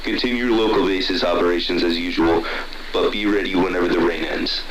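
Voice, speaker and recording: A man's voice: low-pitched, fairly even intonation, fairly quick, crowd noise, natural voice, low-quality recording. A man playing a blunt, determined military commander who swears as he addresses his troops in an audio horror drama. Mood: resolute, businesslike. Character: gruff, determined military commander rallying troops to rescue a soldier. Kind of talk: radio message acting in audio horror.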